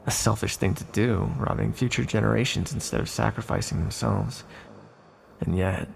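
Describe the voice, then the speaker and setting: Man voicing a low, gravelly ancient villain. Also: normal speed, slight background noise. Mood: solemn. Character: ancient sealed villain, male magical being, calm, tender. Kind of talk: ASMR roleplay, scripted monologue to the listener.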